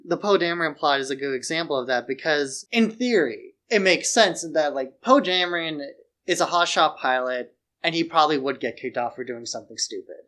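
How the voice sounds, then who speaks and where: Higher-voiced man chatting casually about film. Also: lively intonation, brisk, pushed and bright. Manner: rambling, opinionated, peppered with "like". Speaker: podcast co-host.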